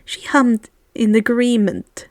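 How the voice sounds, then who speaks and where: A woman reading fan fiction aloud as an expressive audiobook narration, close to the microphone. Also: on the high side, slow, no room sound.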